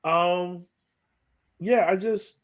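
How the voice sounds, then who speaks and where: A man's voice: high voice, fairly even intonation, drawn-out words. A man co-hosting a sports podcast, talking casually and thinking aloud as he gives his opinions on soccer. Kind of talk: casual soccer analysis chat.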